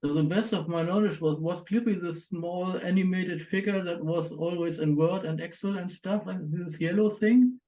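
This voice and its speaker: Male participant, warm mellow tone, halting storyteller. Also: sits high, fairly even intonation, poor audio. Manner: slow, searching for words with many uh pauses. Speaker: meetup participant. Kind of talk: casual storytelling.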